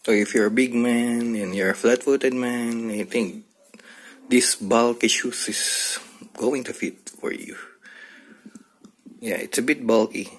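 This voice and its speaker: A man chatting casually about sneakers in a low-key, halting way, recorded on a phone in a bedroom. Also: steady tone. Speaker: sneaker reviewer. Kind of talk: casual unboxing chat. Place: small bedroom, indoors.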